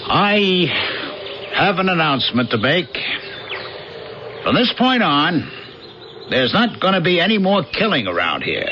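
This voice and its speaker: A man's voice: on the high side, sing-song, measured pace, pauses often, background noise. An actor with an American accent playing a disillusioned working man cast away and taken for a god, in a 1980s radio drama style. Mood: commanding. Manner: plain-spoken American everyman, colloquial. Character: disillusioned castaway worker mistaken for a god, gruff everyman. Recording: dull 1980s radio broadcast, loud music behind.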